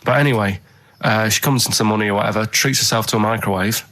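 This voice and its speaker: A man's voice: lowish voice, fast, pushed and bright. A man chatting casually on a radio show, telling rambling anecdotes in an earnest, matter-of-fact way. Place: radio studio.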